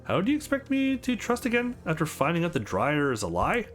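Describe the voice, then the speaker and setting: A man's voice: fairly high pitch, huge pitch swings, fairly quick, soft background music, voice pushed up. A man voicing a wry, sarcastic young male protagonist in a visual novel game.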